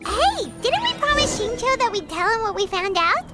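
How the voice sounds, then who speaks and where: Teeny perky fairy kid game sidekick, super high squeaky voice, bouncy and chatty, music behind. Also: female voice, sing-song, moderate pace, pushed and bright, full character voice. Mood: excited, curious. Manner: perky, chatty, childlike, refers to herself by name. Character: tiny, bubbly, childlike fairy girl companion. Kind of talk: scripted video game character acting.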